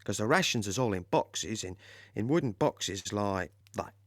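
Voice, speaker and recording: Cheeky English wartime lorry driver, animated pitch, rambling colloquial monologue, dry close sound. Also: male voice, normal speed, dry recording. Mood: matter-of-fact. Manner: chatty, colloquial, rambling, repeats himself. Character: cheeky, proud British army supply lorry driver. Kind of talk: first-person dramatic monologue in audio play.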